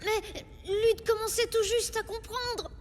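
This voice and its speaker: A woman's voice: very high-pitched, steady tone, slow, background noise. A woman voicing an earnest, mischievous young prehistoric child in a French cartoon dub. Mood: regretful.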